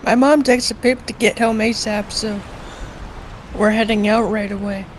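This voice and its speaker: A woman voicing a casual, low-key young female pegasus in a cartoon read-along. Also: fairly even intonation, measured pace, slight background noise, close to an everyday voice. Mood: concerned, intrigued.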